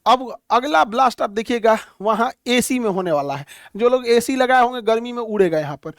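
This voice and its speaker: A man lecturing on current affairs in a blunt, folksy, animated way, full of homely comparisons. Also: very high voice, fairly quick, no room sound, clean studio sound. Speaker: teacher.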